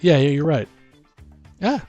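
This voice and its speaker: Rambling casual man, slightly low-pitched, music behind. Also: big pitch swings, measured pace, mellow, dull audio. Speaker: podcast host and game master. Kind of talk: casual chat about tabletop game world-building.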